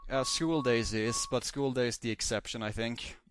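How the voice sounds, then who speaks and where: Male, average pitch, podcast panelist, casual chat, emphatic repeats, halting self-corrections. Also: steady tone, music in the background. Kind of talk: casual discussion.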